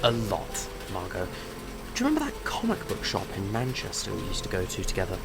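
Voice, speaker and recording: An actor playing a brash, teasing monster hunter and comic book nerd in an audio drama. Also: male voice, low-pitched, huge pitch swings, a little fast, vocal fry, full character voice. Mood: storytelling, smug.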